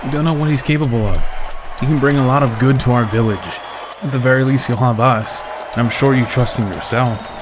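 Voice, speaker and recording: A man playing an idealistic, loyal ninja veteran in a radio drama, calm and earnest. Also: mid-range voice, a little fast, crowd noise, noisy background, close to an everyday voice. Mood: calm, reassuring. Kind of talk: fan radio drama acting.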